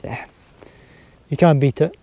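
A Scottish man talking casually to his camera while camping on a hilltop, relaxed and chatty. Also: unhurried, no echo, highs cut off. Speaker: outdoor vlogger. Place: outdoors on a windy hilltop campsite. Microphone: handheld camera or mini tripod.

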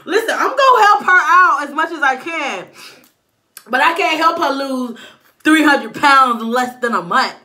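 Sassy high-voiced woman vlogging. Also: normal speed, reverberant, distant mic. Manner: animated and sassy, waving her arms. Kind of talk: storytime vlog. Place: small indoor room at home. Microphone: camera in front of her.

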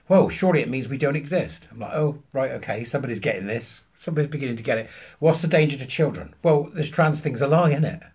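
A British man in his fifties telling an anecdote to camera, emphatically acting out both sides of a conversation in a husky voice. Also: mid-range voice, quick pace, darkish tone. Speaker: vlogger. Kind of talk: animated anecdote told to camera. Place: small furnished room at home, indoors.

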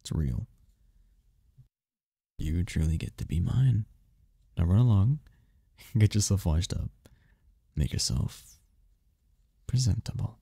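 A man voicing a seductive, possessive demon prince in an ASMR roleplay, with a husky, gravelly voice and a regal, flirtatious manner. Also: deep voice, lively intonation, mellow, no room sound, studio quality, full character voice. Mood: tender, possessive. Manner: regal, slow-savouring, flirtatious.

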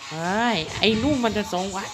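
A woman dubbing an excitable, bumbling male cartoon cat, full of grunts and exclamations. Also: fairly quick, music in the background, lightly acted. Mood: agitated. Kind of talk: improvised comic cartoon dubbing.

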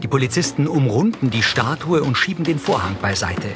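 A man reading scripted audio description in a measured, matter-of-fact way. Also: medium pitch, expressive. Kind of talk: scripted narration, present-tense audio description. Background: music.

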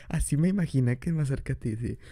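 A man's voice: a little high, dark tone, dry recording. A young man improvising an ASMR boyfriend roleplay, rambling chattily and laughing often into a close mic. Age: young adult.

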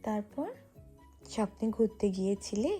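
A woman's voice: mid-range voice, moderate pace, mellow, breathy edge, soft background music. A woman talking playfully to her baby, cooing and repeating short questions.

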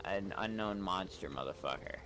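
A man's voice: low voice, expressive, normal speed, music in the background. A man giving casual, sardonic gameplay commentary, muttering and swearing as he plays. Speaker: gamer playing a role-play game. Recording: clear background noise, game ambience.

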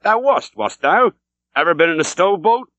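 A man's voice: animated, moderate pace, a bit bright, no room sound, dull audio. A man voicing a fierce, blustering old male sea captain who barks archaic questions. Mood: gruff demanding. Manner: booming, archaic, interrogating.

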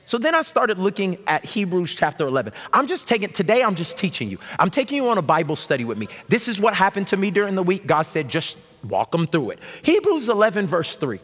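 A man in his thirties preaching a sermon from a large church stage, animated and shouting call-and-response lines to the congregation. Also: sits high, quick pace, hardly any pauses. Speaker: pastor. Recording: long reverb of a large hall.

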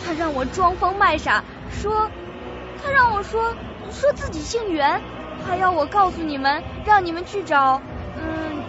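An actress playing a frightened, tearful young female employee in a suspense radio drama, halting and pleading. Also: very high-pitched. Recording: dull audio under loud music.

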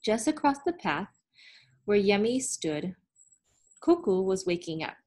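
A woman in her thirties reading a picture book aloud in a storytelling style, with a slightly husky voice. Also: medium pitch, big pitch swings, unhurried, a little mellow. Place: small room at home, on a sofa.

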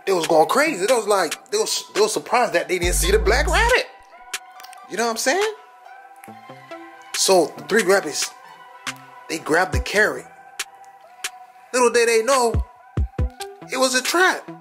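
A man's voice: high-pitched, big pitch swings, a little slow, pauses often, fairly bright tone, faint music behind. A young American man chatting casually in a slangy, conversational way, as a vlogger from Orlando talking to camera. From Orlando, United States. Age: young adult. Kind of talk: casual vlog chat.

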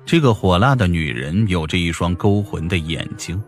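A man reads a fantasy novel as an audiobook narrator. His voice sits very low, and music plays behind him as he tells the story. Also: expressive, normal speed.

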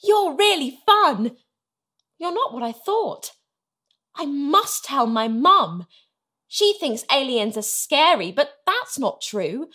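Woman playing an excitable, high-pitched little storybook girl. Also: slow, heavily acted. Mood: excited. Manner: exclaiming, eager, earnest. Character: excitable, warm-hearted young human girl, Sarah. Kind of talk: children's storybook character voice.

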